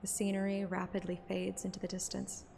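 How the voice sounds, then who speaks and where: A woman narrating a scripted present-tense story in a measured, descriptive way. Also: mid-range voice, monotone, vocal fry, a little mellow, a little hiss or hum. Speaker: audiobook narrator and streamer. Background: music.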